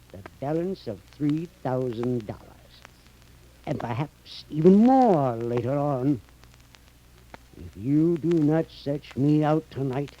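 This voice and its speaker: Sinister professor, deliberate and menacing, slightly high but dark voice, dull and faintly hissy 1930s horror radio. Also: male voice. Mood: quietly menacing. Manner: deliberate, insinuating, formally polite threats. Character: sinister, menacing male professor demanding his payment. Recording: muffled, faintly hissy old radio recording.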